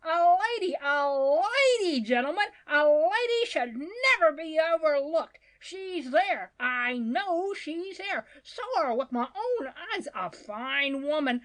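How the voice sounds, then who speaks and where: A woman voicing an excitable, blustering, gallant gentleman with a pompous, exclamatory manner in a storybook reading. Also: high-pitched, slow pace, hardly any pauses, voice pushed up, heavily acted. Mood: pompous oratory. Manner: pompous, frantic, repetitive exclamations.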